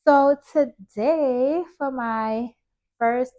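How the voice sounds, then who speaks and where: Woman vlogger from Trinidad, relaxed chatty delivery full of fillers, slightly muffled recording. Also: sits high, drawn-out words. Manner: chatty, many ums and likes. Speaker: hair stylist and YouTuber. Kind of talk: casual vlog chat to camera.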